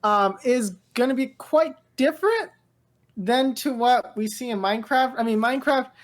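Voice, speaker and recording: Woman chatting casually, slangy and halting. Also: fairly high pitch. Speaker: podcast co-host. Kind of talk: casual pop-culture news chat.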